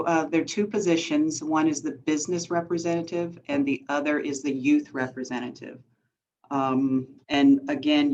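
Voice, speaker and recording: A woman working as city staff, giving a relaxed update with fillers on a video call. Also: extremely low pitch. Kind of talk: virtual meeting update. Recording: dull lo-fi call audio, faint noise.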